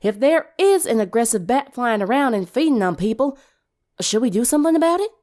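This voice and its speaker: A practical, blunt teenage girl, voiced by a woman reading a story. She is dismissive and no-nonsense, with dry delivery that still moves animatedly in pitch. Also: lively intonation, brisk, a little mellow, natural voice. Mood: dry dismissal.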